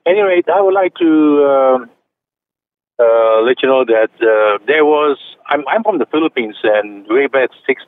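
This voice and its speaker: A man's voice: mid-range voice, lively intonation, unhurried, no echo. Older man calling in on a tinny phone line. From China. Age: 70s. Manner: hesitant, many fillers and restarts. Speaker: caller. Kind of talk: phone-in chat. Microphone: telephone.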